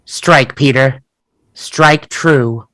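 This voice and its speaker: A woman's voice: extremely low pitch, extremely slow, a bit bright, dry recording, heavily acted, low-quality recording. A woman playing an earnest, pleading young boy in an audio play. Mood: anguished.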